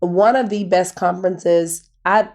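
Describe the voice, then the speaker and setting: A woman hosting a podcast, talking in a casual, animated way. Her voice is naturally raspy and hoarse from a cold. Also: fairly low pitch, a little slow. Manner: animated, storytelling with "girl" asides. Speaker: podcast host. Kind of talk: casual chat.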